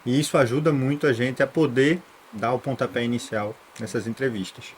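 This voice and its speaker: A man's voice: mid-range voice, steady tone, fairly quick, slight background noise. A man co-hosting a podcast, eagerly asking questions and introducing the show. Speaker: podcast co-host. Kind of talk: podcast interview.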